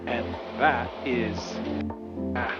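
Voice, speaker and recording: A man's voice: medium pitch, steady tone, drawn-out words, highs cut off. Male pilot talking in a cockpit, music underneath. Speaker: pilot and video host. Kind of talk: cockpit chat, radio calls and explanations. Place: small aircraft cockpit in flight. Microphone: aviation headset.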